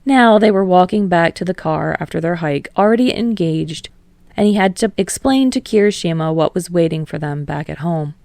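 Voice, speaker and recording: A woman's voice: fairly low pitch, animated, normal speed, a little mellow, dry recording. A woman reading fiction aloud as an audiobook narrator in a scripted storytelling style.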